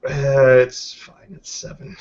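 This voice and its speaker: A tabletop roleplaying player chatting and narrating informally, in halting, improvised phrases. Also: mid-range voice, level tone, noisy background. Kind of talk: casual tabletop game chat and narration. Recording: slightly dull, lo-fi audio.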